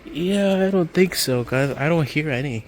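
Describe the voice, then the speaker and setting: A man's voice: a little high, expressive, moderate pace, slight background noise. A man giving casual, off-the-cuff commentary while filming a walk through a big hardware store. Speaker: vlogger. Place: inside a large hardware store. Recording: store music in the background. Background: store music playing.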